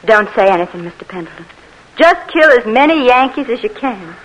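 An actress playing a proud, spoiled young belle, with a haughty, commanding manner, in an old radio drama. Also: female voice, a little high, very animated, fairly quick, rough recording. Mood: scornful. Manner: haughty and scornful, fond of giving orders. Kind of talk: 1940s radio acting.

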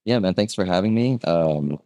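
A man's voice: low voice, animated, moderate pace, dark tone, dry recording. A man in his thirties, born in Vietnam and raised in Texas, telling his story as a podcast guest, chatty, full of "right?" and acted-out quotes. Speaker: guest, real estate agent. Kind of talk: casual podcast conversation.

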